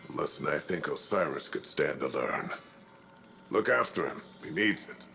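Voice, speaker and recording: An actor playing a weary, kindly veteran hero and mentor in a video game, in a gravelly voice. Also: male voice, rumbling low, animated, normal speed, bright, forceful tone, soft background music, full character voice. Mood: earnest, resigned. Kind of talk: scripted video game dialogue.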